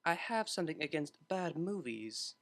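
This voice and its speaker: A refined young mare from a storybook voiced by a man, speaking in a bright, raised voice. The manner is proper and measured, with dry, gentle wryness. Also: fairly high pitch, sing-song. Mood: dryly skeptical. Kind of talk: fan fiction audiobook character voice.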